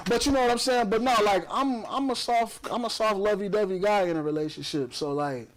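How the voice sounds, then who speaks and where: A young man with a Chicago accent talks on his own podcast, his voice sitting fairly high. He boasts and swears freely, filling gaps with "you know what I'm saying." Faint noise and clicks sit under the recording. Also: a little fast. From south side of Chicago. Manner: loose, profane, boastful, many fillers. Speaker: podcast host. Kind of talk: casual chat.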